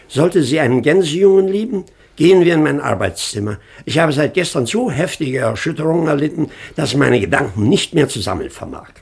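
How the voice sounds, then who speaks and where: High, creaky, flustered old baron. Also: male voice, animated, a little fast, few pauses, a little mellow, exaggerated voice. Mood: shocked. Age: older. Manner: befuddled, dignified. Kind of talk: theatrical radio play acting.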